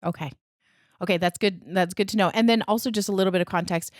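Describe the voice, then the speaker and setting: A woman's voice: mid-range voice, fairly even intonation, fast, no room sound, studio quality. A woman hosting a podcast interview, chatty and encouraging, with fillers and restarts. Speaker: podcast host.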